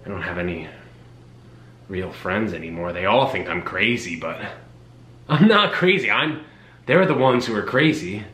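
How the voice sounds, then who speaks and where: A young man playing a creepy, needy, unhinged man who craves touch, speaking slowly and haltingly with intensity. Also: on the low side, expressive, fairly bright tone. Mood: pleading. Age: young adult. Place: small home kitchen. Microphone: camera a few feet away. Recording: roomy, distant camera sound, faint hum.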